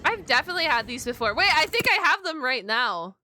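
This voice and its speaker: A woman doing casual, chatty reaction commentary, exclaiming and thinking out loud in an informal way. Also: high voice, big pitch swings, fast talker, a little hiss or hum. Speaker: reaction video streamer and host.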